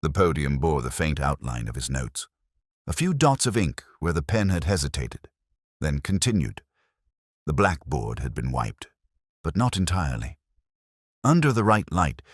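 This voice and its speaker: Man playing a weary detective inspector, middle-aged, bass-deep, dry close sound, reflective, measured, literary audio drama narration. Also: breathy edge, no room sound, full character voice. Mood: reflective, grave. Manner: measured, brooding, literary delivery. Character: male weary, reflective, literary British police inspector narrating his case. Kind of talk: scripted first-person detective narration.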